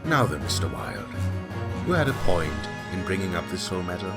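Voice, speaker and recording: A commanding courtroom judge acted by a man, low in pitch with lively swings. He is stern and short of patience, in an audio drama with music behind him. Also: a little fast, gravelly, full character voice. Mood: irritated, commanding. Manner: authoritative, scolding. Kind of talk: amateur audio drama acting.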